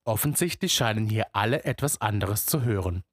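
A man's voice: lowish voice, lively intonation, fairly quick, slightly rough, no echo, studio quality. A man telling a story in the first person, with musing, immersive narration.

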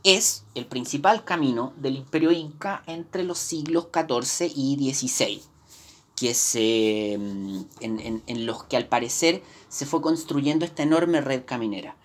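A woman's voice: extremely low pitch, unhurried. A woman with a Chilean accent giving a live history lesson, explaining from slides with many fillers and restarts. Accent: Chilean Spanish. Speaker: history teacher. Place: indoors, teaching from slides.

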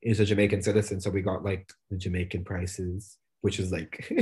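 A young man co-hosting a podcast in a loose, rambling chat, full of fillers and quick laughs. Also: sits low, fairly even intonation, a little mellow. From United States. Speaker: podcast co-host. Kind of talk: casual chat.

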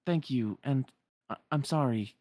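Someone doing a lonely young game girl, lifted pitch, gentle, hesitant, sorry-sounding. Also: unhurried, no echo, natural voice. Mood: sad, apologetic.

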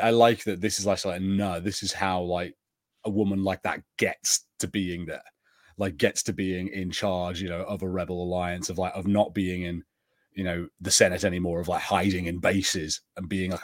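A man with a fairly low voice co-hosts a podcast, recorded close and dry. He chats casually, feeling his way through ideas as he speaks. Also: steady tone, moderate pace, no room sound. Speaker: podcast co-host. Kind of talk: casual TV review chat.

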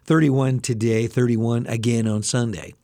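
A man hosting a casual daily news briefing, slightly hoarse and adding personal asides to the headlines. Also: mid-range voice, steady tone, measured pace, mellow, a little hiss or hum. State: slightly hoarse, losing his voice. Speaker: radio news briefing host.

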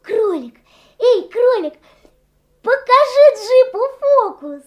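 A performer playing an eager, delighted young boy in a radio play, calling out in drawn-out words. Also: extremely high pitch, big pitch swings, exaggerated voice.

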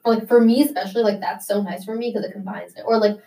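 A young woman from the United States talks in a loose, casual interview way, full of "like" and little restarts. Her pitch sits in an ordinary middle range. She sounds slightly set back from the microphone. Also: fairly even intonation, fast, mellow, recorded from a distance. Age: young adult. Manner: chatty, many "like" fillers.

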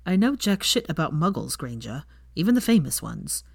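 A woman's voice: sits low, very animated, moderate pace, gravelly, breathy edge, dry recording, clean studio sound, full character voice. A woman voicing a jaded, sardonic young male wizard teacher, blunt and muttering, in a story reading. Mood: dry, drunken scorn.

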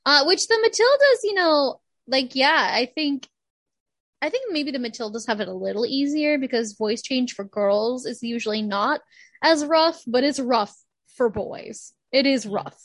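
A young woman co-hosting a casual podcast chat, animated, laughing often and acting out quotes. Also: high voice, a little slow, no room sound. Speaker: podcast co-host.

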